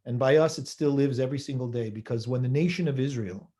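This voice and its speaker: Man giving a measured holiday sermon. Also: medium pitch, warm tone. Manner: measured, with rhetorical questions and repeated phrases. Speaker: rabbi giving a holiday talk.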